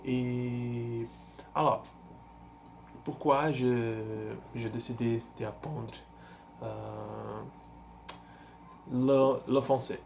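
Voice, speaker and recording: Man, twenties, Brazilian Portuguese accent, Portuguese first language, halting and hesitant, slow pace, gravelly voice. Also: medium pitch, fairly even intonation, a little mellow, soft background music. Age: 20s. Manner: halting, searches for words with many fillers and restarts. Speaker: language learner vlogger. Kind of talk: casual self-introduction.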